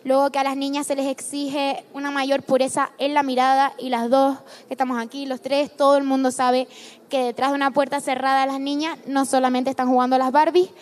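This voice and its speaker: A woman novelist with a high voice lays out her points on a panel in long, fluent sentences. She speaks frankly and steadily, without hesitating. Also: level tone, a little fast.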